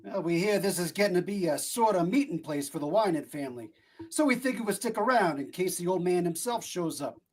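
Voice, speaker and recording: A man's voice: sits high, animated, a little fast, slightly rough. A man playing a blunt, bossy homicide inspector in a vintage radio mystery. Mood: suspicious, pressing. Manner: blunt, tough interrogating cop talk. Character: brusque, bossy male homicide police inspector.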